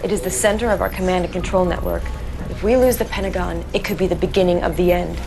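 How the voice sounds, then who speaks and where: A woman's voice: on the low side, steady tone, fast, creaky, music in the background. A woman playing a serious military officer who gives direct mission briefings in a video game. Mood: grave. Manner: businesslike, direct. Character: crisp, serious female military intelligence officer.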